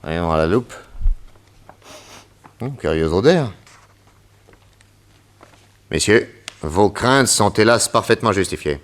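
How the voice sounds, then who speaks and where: A man playing a shrewd, courteous private detective in a radio play, measured and inquisitive in his delivery. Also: lowish voice, expressive. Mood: analytical.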